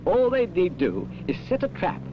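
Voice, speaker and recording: A man's voice: high voice, wildly swooping pitch, moderate pace. A British man narrating a 1970s road safety film in a wry, advisory way. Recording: muffled, noisy old film soundtrack.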